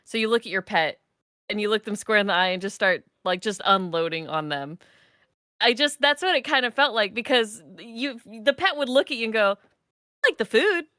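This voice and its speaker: A woman's voice: a little high, animated, a little fast, fairly bright tone, no echo, clean studio sound. A woman chatting casually as a panelist in a playful, giggly manner. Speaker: podcast live event panelist. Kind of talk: casual chat.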